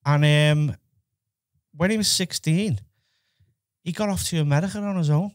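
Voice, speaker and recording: High-voiced Scouse podcast host. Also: male voice, normal speed. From Liverpool, England. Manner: friendly, prompting with questions. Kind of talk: casual chat. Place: small studio with brick wall. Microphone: headset and desk mic.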